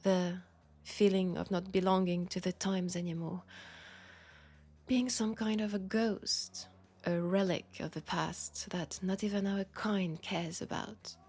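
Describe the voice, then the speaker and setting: A woman with a French accent voicing a centuries-old, wry and world-weary female vampire in an intimate audio roleplay, softly and breathily. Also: mid-range voice, fairly even intonation, measured pace. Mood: grave, melancholic. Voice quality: breathy, soft, slipping into whispers.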